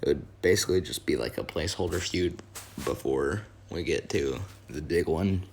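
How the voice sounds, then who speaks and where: A hoarse young man, his voice worn from yelling, rambling casually through a wrestling talk with many fillers and self-corrections. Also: deep voice, moderate pace. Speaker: wrestling video host. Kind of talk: casual fantasy-booking commentary.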